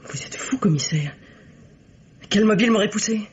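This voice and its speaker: An actress playing a haughty, protective middle-aged widow in a vintage French radio mystery, sarcastic and clipped. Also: female voice, medium pitch, normal speed, close to an everyday voice. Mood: indignant. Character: haughty, protective mother and accused bourgeois widow. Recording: dull, band-limited old radio recording.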